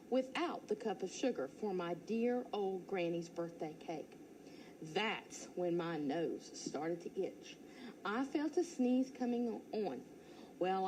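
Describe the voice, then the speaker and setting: A teacher reading a children's storybook aloud in first person, playful and expressive. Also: female voice, medium pitch, slight background noise, rough recording.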